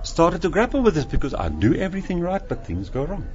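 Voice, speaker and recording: Male guest author; reflective, halting, animated pitch; slightly muffled radio sound. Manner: thoughtful, searching for words, repeats himself. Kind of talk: reflective interview talk.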